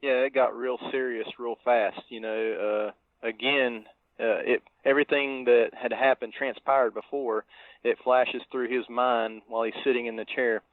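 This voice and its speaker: Halting male co-host on a phone-like line. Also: mid-range voice, level tone, dry recording. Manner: halting, conversational, thinking aloud. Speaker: podcast co-host. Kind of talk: casual film discussion. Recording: tinny, dry phone-like line.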